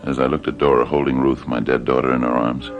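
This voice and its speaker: A man's voice: very deep voice, flat delivery, quick pace, muffled recording. An actor playing an anguished, nervous father haunted by a ticking clock, in a radio drama. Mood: haunted, brooding. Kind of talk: radio drama acting with diary narration. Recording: old radio drama with music behind.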